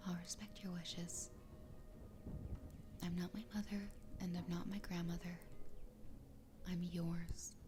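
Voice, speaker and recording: A woman voicing an obsessively loving fox girl wife in a soft, breathy, tender ASMR roleplay whisper. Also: on the low side, level tone. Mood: adoring, devoted. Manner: tender, slow, intimate. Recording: close whisper over background music. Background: music.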